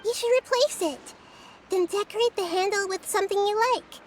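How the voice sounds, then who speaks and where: A woman voicing a gentle, curious young girl deity in a video game, with a thoughtful, earnest, explanatory manner. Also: very high voice, normal speed, a little hiss or hum. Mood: gently advising.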